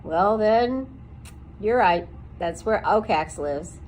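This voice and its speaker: A woman doing a blunt gruff old porcupine. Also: medium pitch, lively intonation, slow, a little hiss or hum, low-quality recording. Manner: blunt, loud, teasing.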